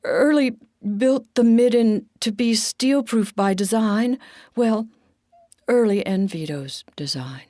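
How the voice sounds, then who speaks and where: A woman's voice: fairly high pitch, lively intonation, slow, mellow, no echo, clean studio sound, voice pushed up. A woman voicing Giddle, a proud and earnest male pack rat, speaking firmly and insistently.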